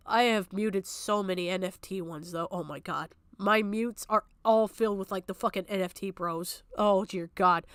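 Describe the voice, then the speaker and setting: A woman's voice: medium pitch, fairly bright tone, no room sound, studio quality. A woman streamer giving heated off-the-cuff commentary, blunt and emphatic, swearing freely and repeating herself to make her point. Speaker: streamer reacting to a recorded stream.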